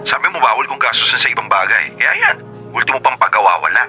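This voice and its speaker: An actor playing a brusque, grumbling fiancé on a phone call in a Filipino radio drama, curt and impatient. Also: male voice, medium pitch, lively intonation, fast, bright, forceful tone. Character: brusque, grumbling, money-short tricycle-driver fiancé. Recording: music under the voice. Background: background music.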